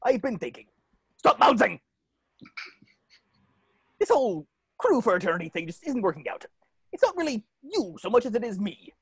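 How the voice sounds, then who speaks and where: An actor voicing a gruff, bossy male ghost pirate captain with a raspy, blustering delivery, in a cartoon script reading. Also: mid-range voice, sing-song, moderate pace, long pauses, no room sound. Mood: menacing. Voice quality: raspy, gravelly. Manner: theatrical, blustering, swooping.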